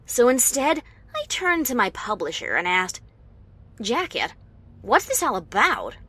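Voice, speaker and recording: A woman's voice: fairly high pitch, a little slow, breathy edge, no echo, exaggerated voice. A woman voicing a tense pony author who narrates her own story dramatically, as a fan fiction reading. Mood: tense, wry. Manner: dramatic, expressive.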